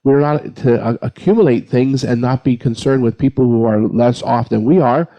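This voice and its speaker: A man's voice: medium pitch, moderate pace, highs cut off. A man speaking as a priest on a panel, giving an informal, plain-spoken explanation point by point. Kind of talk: informal explanatory answer to a question.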